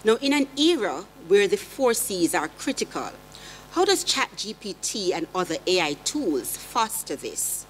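A woman's voice: medium pitch, sing-song, measured pace, a little hiss or hum. A middle-aged woman, giving an earnest prepared talk on a panel, posing rhetorical questions into a table mic in a conference hall. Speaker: panelist, education lecturer. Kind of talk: prepared academic presentation. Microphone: table gooseneck mic.

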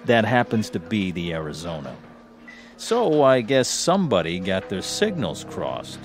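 A man's voice: deep voice, very animated, a little slow, soft background music, exaggerated voice. An actor playing a mild, hesitant man, talking in a casual, tentative way. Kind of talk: dramatic screen acting.

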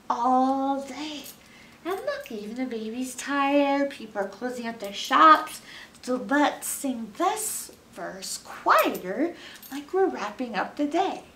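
A middle-aged American woman leading a children's story time, expressive as she talks to young listeners. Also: high voice, slight background noise. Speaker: librarian storyteller. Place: small library room, indoors. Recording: roomy, picked up from a distance.

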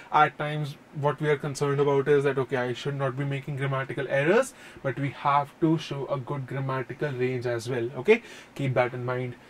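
A man in his thirties with an Indian accent, giving a classroom lecture on exam speaking tips, talking animatedly and often saying "okay", recorded on a lapel mic in a classroom. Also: a little high. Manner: animated, gestures at whiteboard, says "okay" often. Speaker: IELTS tutor. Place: classroom in front of projected screen.

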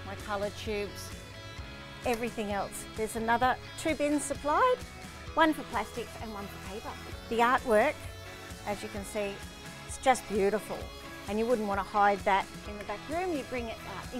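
A middle-aged woman with an Australian accent, explaining a service in an upbeat, enthusiastic way in a promotional interview. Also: fairly high pitch. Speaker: shop owner being interviewed. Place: stockroom of a shop, later outdoors by bins. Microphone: lapel mic. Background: background music.

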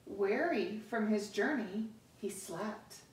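A middle-aged woman reading a picture book aloud to children, with deliberate, expressive pauses, recorded across a living room. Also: medium pitch. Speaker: story time host reading to children. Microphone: camera across the room. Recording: echoey room, distant microphone.

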